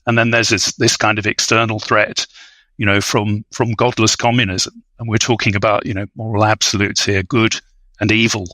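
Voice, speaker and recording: A man speaking as an expert guest in an academic discussion, hesitant and scholarly, often pausing to rephrase. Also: fairly low pitch, level tone, pushed and bright, no room sound, poor audio. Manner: halting, hedging, scholarly, frequent "you know". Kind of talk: academic discussion on a film podcast.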